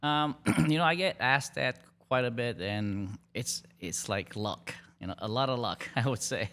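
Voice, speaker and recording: A middle-aged man from Malaysia, a dean in hospitality, talking in a relaxed, halting way with fillers and restarts in a podcast interview. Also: mid-range voice.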